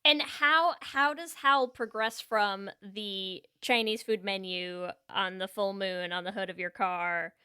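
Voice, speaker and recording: A woman's voice: medium pitch, slow, hardly any pauses, sharp tone, clear, resonant tone. A woman co-hosting a casual podcast, asking questions in a playful, teasing way. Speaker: podcast co-host, interviewer. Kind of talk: casual chat.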